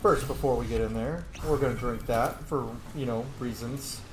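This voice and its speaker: A man's voice: mid-range voice, normal speed, echoey room, noisy background. A man giving casual, irreverent gameplay commentary, muttering asides and swearing lightly. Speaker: video game streamer.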